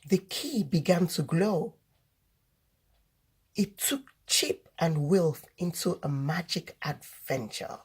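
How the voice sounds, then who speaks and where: Very slow, husky female storyteller. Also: lowish voice. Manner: deliberate, expressive storytelling. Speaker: children's story reader and host. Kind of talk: children's read-aloud narration.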